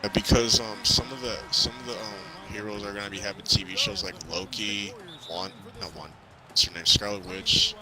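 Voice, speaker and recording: A man's voice: on the low side, fairly even intonation, moderate pace, crowd noise, low-quality recording. A young man chatting casually about a movie, stumbling and rambling through his stories in slangy speech. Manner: rambling, stammering storytelling with slang. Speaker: co-host of a movie review chat. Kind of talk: casual spoiler-filled movie chat.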